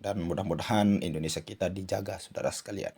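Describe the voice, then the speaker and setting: A man hosting his channel, giving a spoken commentary with a hoarse voice and many hesitant fillers. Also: sits low, fairly even intonation, quick pace, a little mellow. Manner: addresses viewers as "saudara sekalian", frequent "eh" fillers. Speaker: channel host. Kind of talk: commentary monologue.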